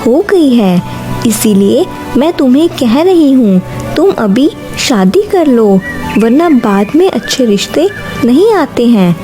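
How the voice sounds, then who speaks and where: A caring middle-aged mother bird from a cartoon moral story, acted out by a woman. Her voice sits high, and she talks earnestly and politely, coaxing and eager to please. Music plays clearly behind her. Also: sing-song, slow, warm tone.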